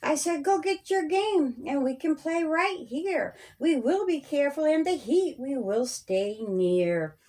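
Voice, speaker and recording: A woman with a fairly high voice reads a children's story in rhyme. Her delivery is very slow and follows the verse in a sing-song rhythm. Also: expressive, darkish tone. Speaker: author reading her own story. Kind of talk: children's story read aloud in rhyming verse.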